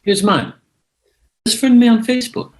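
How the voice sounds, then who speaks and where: A man's voice: very high voice, steady tone, normal speed. A man playing a friendly, joking, easygoing suitor at a screenplay table read. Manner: casual, easygoing.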